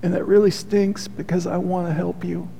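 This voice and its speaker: A man's voice: high voice, little pitch movement, normal speed, gravelly, slight background noise. A man preaching a sermon as pastor, earnest and heartfelt, with a soothing voice. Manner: earnest, heartfelt preaching with soft confessional asides. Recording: long hall reverb.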